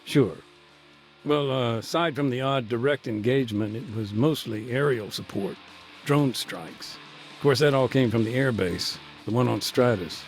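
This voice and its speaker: Male actor as war-weary humanitarian, measured, hesitant, medium pitch, naturalistic game dialogue, soft music behind. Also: natural voice. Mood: candid, reflective. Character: weary, earnest male humanitarian aid worker recounting a war. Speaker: humanitarian aid worker being interviewed. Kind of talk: naturalistic video game dialogue, interview recollection.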